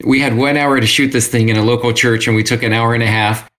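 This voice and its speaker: A man talking casually as an interview guest, telling stories in an animated way with quoted asides. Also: mid-range voice, fast talker. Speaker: interview guest, self-published creator.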